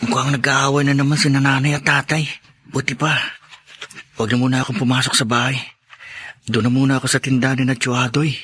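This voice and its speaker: A man's voice: mid-range voice, level tone, brisk, long pauses, a bit bright, breathy edge. A man playing an earnest, troubled schoolboy in a radio drama, polite and plaintive. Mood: weary. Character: earnest schoolboy son, troubled by quarrelling parents.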